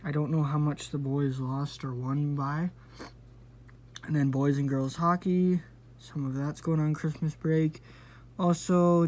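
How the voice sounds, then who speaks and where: A man's voice: fairly high pitch, a little mellow. A man hosting his own video channel, chatting casually to viewers in an informal, meandering and apologetic way. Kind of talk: casual, rambling vlog talk to viewers.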